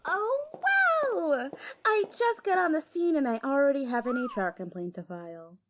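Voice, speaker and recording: Exacting female planner, acted by a woman, highish voice, prim, precise and businesslike, for an audio drama caper. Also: big pitch swings, moderate pace, noisy background. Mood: wry. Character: brisk, officious, exacting female heist planner.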